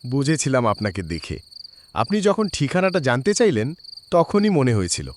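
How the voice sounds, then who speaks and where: A wary village shopkeeper acted by a man gives ominous cautions in a horror cartoon. The voice is mid-pitched and moves widely, over faint insect noise. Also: very animated, normal speed, close to an everyday voice. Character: wary village shopkeeper warning a stranger of a curse.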